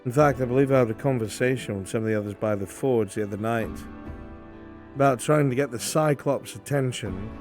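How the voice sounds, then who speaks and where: A man's voice: medium pitch, fairly quick, darkish tone, faint music behind, close to an everyday voice. A man roleplaying a wry, easygoing outsider in a fantasy game, speaking in a dry, understated conversational way. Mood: dry teasing.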